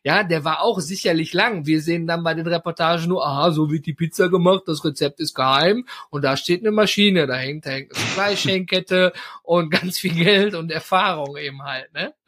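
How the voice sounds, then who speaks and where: A man's voice: a little high, moderate pace, fairly bright tone. A man hosting a podcast interview, chatting casually and rambling with lots of fillers and tag questions. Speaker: podcast host.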